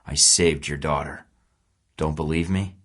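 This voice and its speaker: Tough male field agent, very low voice, sarcastic, clipped delivery, game dialogue. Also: steady tone, measured pace, a bit bright, heavily acted. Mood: defiant. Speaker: video game protagonist, a spy. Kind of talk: game voice lines.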